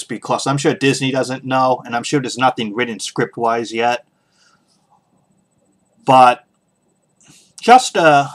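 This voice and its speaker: A man hosting a podcast, giving casual solo opinions in a halting way, pausing often as he thinks aloud. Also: mid-range voice, a bit bright. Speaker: podcast host.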